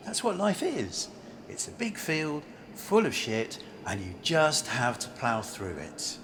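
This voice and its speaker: A blunt, world-weary man down on his luck acted by a man in an amateur radio drama. He is bitter and cynical, worn by grief, and his pitch rises and falls widely. It is a home recording with faint noise behind him. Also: wildly swooping pitch, takes it slowly, full character voice. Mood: bitter, dismissive. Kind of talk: amateur radio play acting.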